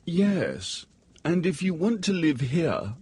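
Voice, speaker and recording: A man performs a snooty storybook cat who looks down on everyone. The voice is slow and very husky, with a bossy, condescending air. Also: a little high, very animated, darkish tone, pitched above the performer's own voice, exaggerated voice. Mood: haughty, scornful. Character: haughty, unkind male house cat.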